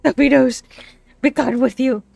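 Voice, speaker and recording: A woman's voice: sits high, fairly even intonation, moderate pace, gravelly, dark tone, no room sound, soft background music. A woman streaming a visual novel with a stuffy, blocked nose, chatting casually and reading game lines aloud into a desk mic. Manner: chatty, self-deprecating, trails off mid-sentence. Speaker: VTuber streamer.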